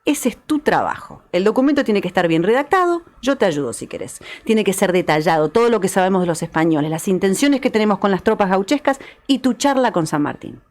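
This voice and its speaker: A woman's voice: medium pitch, animated, brisk, hardly any pauses, a little mellow, lightly acted. A woman acting an insistent Argentine patriot woman. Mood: urgent, persuasive. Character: urgent, persuasive patriot woman advising her brother, the governor. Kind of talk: dramatized historical radio reading.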